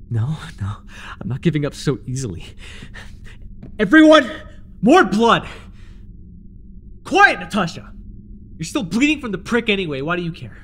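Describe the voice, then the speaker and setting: Male actor as fanatical cult leader, slightly high-pitched, unhurried, theatrical, intense devotion, audio roleplay, faint background noise. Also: fairly bright tone, heavily acted. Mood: desperate, frantic. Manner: theatrical, intense, stammering when shaken. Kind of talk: immersive audio roleplay acting.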